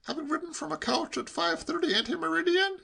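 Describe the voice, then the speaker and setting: A man voicing a breezy, inquisitive young English gentleman in a comic audiobook, facetious and persistent in his questioning. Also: very high-pitched, lively intonation, fairly quick, creaky, voice pushed up, heavily acted. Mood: incredulous, pedantic.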